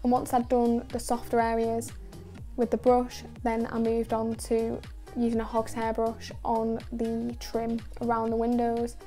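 A young woman giving a calm, measured voice-over about washing a car, with many casual fillers. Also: fairly high pitch, soft background music. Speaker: car detailing channel host. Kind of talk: voice-over narration of a car wash.

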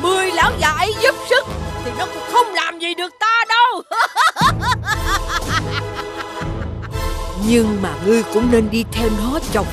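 A woman voicing a haughty, scornful villainess in an animated fairy tale, with an imperious, taunting manner. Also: very high voice, measured pace, long pauses, over loud music, heavily acted. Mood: haughty, mocking. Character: haughty villainess with magic mirror, gloating and scornful.

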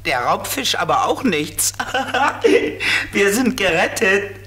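A man voicing a grumbling flying companion with a husky, expressive voice in a children's radio play. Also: sits high, slow, exaggerated voice. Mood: relieved.

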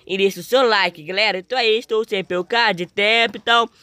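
A young male gamer with a Brazilian accent talks casually through his gameplay. His voice is slightly hoarse and his delivery is informal and full of slang. The recording is close and dry. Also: high voice, fairly even intonation, fast, pushed and bright, no room sound. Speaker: gaming video host.

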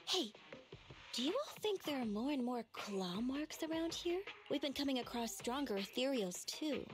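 A bossy young mercenary leader in an anime game. She sounds brash and exasperated in a fairly high voice, over clear music. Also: very animated, moderate pace.

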